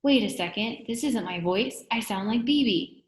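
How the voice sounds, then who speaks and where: Woman putting on storybook schoolchild, excitable, blunt, exclaiming, high-pitched, echoey room. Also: moderate pace, natural voice. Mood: startled.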